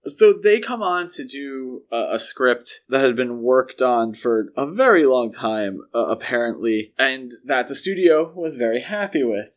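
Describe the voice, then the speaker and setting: A man's voice: medium pitch, animated, measured pace, hardly any pauses, dull audio. A man co-hosting a podcast, casually discussing films in a conversational, explanatory way. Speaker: podcast co-host.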